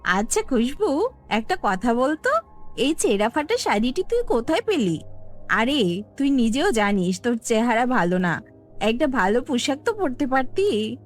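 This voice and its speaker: A cruel cartoon village woman played by a woman. She belittles with a sneer in a fairly high, very animated voice over faint music. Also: a little slow. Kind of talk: cartoon fairy-tale voice acting.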